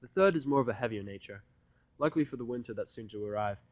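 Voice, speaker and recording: A man's voice: medium pitch, fast talker, darkish tone, no echo. Dry-sounding man narrating a story. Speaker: fan fiction narrator. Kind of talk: scripted first-person story narration.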